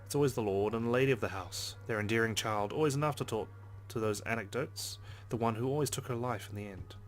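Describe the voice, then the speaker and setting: A man with a fairly low voice hosts a let's play, reading a visual novel's narration aloud. His delivery is dramatic, with brief casual asides. Game music plays behind him. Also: fast talker. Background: game background music.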